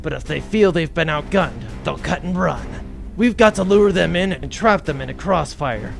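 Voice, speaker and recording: A no-nonsense military commander, played by a man, with a gravelly, fairly high male voice. He briefs in clipped, serious terms in a sci-fi radio play, with music behind him. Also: expressive, moderate pace. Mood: brisk, serious. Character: no-nonsense veteran military commander drilling rebel troops. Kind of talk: comedic sci-fi audio drama acting.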